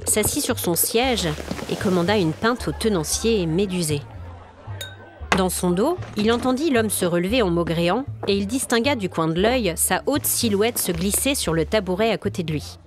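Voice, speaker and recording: A woman's voice: mid-range voice, animated, normal speed, music in the background. A woman storyteller narrating a scripted audio story in a dramatic, atmospheric manner. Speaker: storyteller, podcast host.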